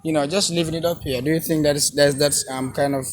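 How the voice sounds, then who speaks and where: A man's voice: a little high, steady tone, a little hiss or hum. A man hosting an interview, addressing viewers and asking questions with hesitant restarts, backing his guest with many "mm-hmm"s. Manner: encouraging, frequent "mm-hmm" and "wow", halting questions. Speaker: interviewer, host.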